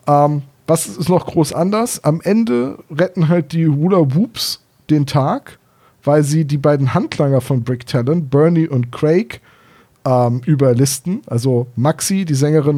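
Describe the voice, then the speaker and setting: Guy, slightly high voice, just chatting away like on a hobby podcast. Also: moderate pace, mellow. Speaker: podcast co-host. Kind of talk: casual podcast discussion of audio plays and books.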